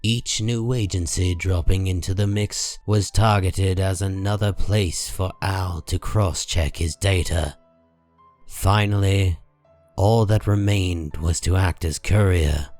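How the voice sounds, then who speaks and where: A low-voiced man reads scripted comic book narration. He is measured and grave, taking his time over each phrase, with soft music playing underneath. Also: steady tone.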